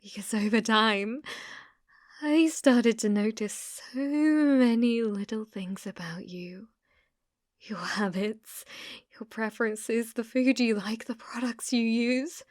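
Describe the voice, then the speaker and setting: Casting: actress for obsessive British yandere woman, ASMR drama. Intimate, possessive delivery, fairly high pitch, close and dry microphone sound. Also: slow, no room sound. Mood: brooding, wistful. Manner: intimate, unhinged, swinging between whispers and intense pleading. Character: obsessive, delusional young woman stalker, sweetly menacing. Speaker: ASMR roleplay performer. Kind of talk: ASMR roleplay acting.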